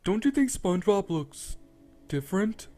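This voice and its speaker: A man putting on a high, loud starfish friend. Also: very animated, moderate pace, soft background music. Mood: curious. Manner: shouting. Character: dim adult male starfish, suddenly angry.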